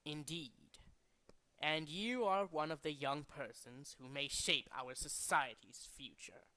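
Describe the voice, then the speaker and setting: A man's voice: fairly high pitch, bright, forceful tone. A man voicing a condescending, cultured and fanatical villain leader from a video game, speaking in a formal, theatrical way. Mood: cold, lofty. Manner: formal, pompous, theatrical, deliberate.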